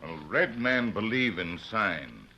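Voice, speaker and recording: An actor playing a stolid Native sidekick in an old radio western, speaking clipped broken English on a noisy old recording. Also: male voice, lowish voice, sing-song, slow, pushed and bright, full character voice. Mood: grave, knowing.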